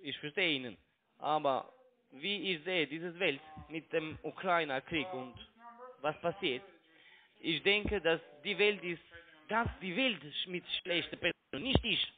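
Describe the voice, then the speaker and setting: A man's voice: a little high, a little slow. A man who grew up in Italy, a call center trading broker, talking in broken German on a phone call, pushy and mocking. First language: Italian. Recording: tinny phone line.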